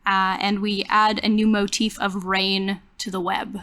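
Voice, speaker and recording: A woman's voice: medium pitch, level tone, measured pace, fairly bright tone, a little hiss or hum. A woman reading out card meanings during a tabletop roleplaying game.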